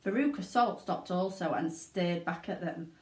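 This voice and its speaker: A woman narrating a children's storybook aloud in an expressive reading style. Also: lowish voice, normal speed. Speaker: teaching assistant reading aloud. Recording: echoey room.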